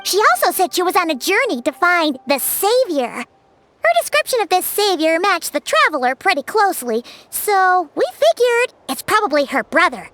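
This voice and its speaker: A woman voicing a tiny, chatty, cheeky fairy companion in a video game, with a squeaky childlike voice and cutesy, exaggerated delivery. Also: sing-song, normal speed, fairly bright tone, faint music behind, heavily acted. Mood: chatty, explaining. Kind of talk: animated game voice acting.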